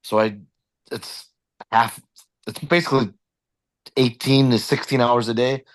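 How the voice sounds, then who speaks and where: A man's voice: medium pitch, steady tone, measured pace, no room sound. A man in his late forties on a video call, speaking in a blunt, matter-of-fact way. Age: 40s. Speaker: real estate investor in an accountability group. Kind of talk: casual video call chat.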